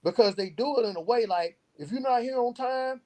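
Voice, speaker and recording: A man with a mellow, relaxed tone talks as an interview guest. He makes his points with earnest, emphatic conviction, often explaining through stories and examples. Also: extremely high pitch, brisk. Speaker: guest, youth program founder. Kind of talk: earnest interview talk with storytelling.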